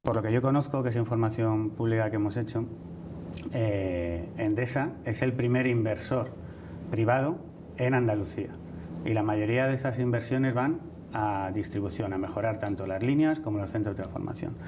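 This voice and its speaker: Deliberate male corporate spokesman. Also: fairly low pitch, noisy background. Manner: technical, deliberate, with hesitations. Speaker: company spokesman. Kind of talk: explanatory statement to press.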